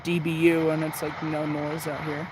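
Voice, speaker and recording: A young man with a fairly high-pitched voice talks casually, as if to a few viewers on a live stream. He sounds like a hobbyist thinking aloud. Clear outdoor and vehicle-like noise runs behind his voice. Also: monotone, normal speed. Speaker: shortwave radio hobbyist livestreamer. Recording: clearly noisy outdoor or in-car recording.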